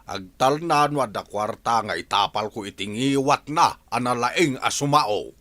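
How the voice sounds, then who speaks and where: A male actor as a conniving Filipino pal in a radio drama. He is chummy and chuckling, with a forceful mid-pitched voice, recorded dry and close. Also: normal speed, no echo, lightly acted. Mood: amused. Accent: Filipino (Ilocano). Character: joking, conniving male buddy of a schemer. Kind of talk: radio drama acting in Ilocano.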